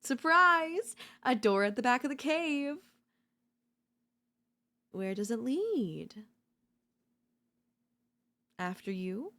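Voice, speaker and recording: A woman playing an obsessive, domineering yandere in a dark ASMR roleplay, seductive and smug, slow and teasing, slipping into whispers. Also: high voice, big pitch swings, full character voice. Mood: gloating, excited. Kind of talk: dark ASMR audio roleplay, intimate dramatic acting.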